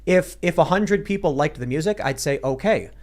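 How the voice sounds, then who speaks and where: A man hosting a panel show, chatting casually and getting animated, his voice jumping up when excited. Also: fairly high pitch, moderate pace. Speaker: show host.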